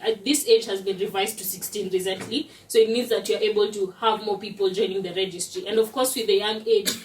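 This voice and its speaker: A woman's voice: medium pitch, a little hiss or hum. Woman presenting in an echoey room. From Kenya. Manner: explanatory, steady, uses "basically" as a filler. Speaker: student presenter. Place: lecture room. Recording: roomy echo, distant mic.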